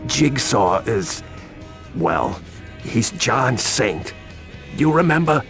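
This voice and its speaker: Uneasy street informant: mid-pitched, lively swings, gravelly fry, video game scene with light music. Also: male voice, drawn-out words, exaggerated voice. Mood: urgent, uneasy. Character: nervous, fast-reacting male informant, streetwise and worried. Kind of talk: video game cutscene acting.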